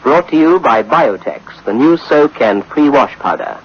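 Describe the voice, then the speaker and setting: A man with a husky voice reading scripted closing credits as a 1960s radio announcer. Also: medium pitch, animated, measured pace. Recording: muffled old radio recording.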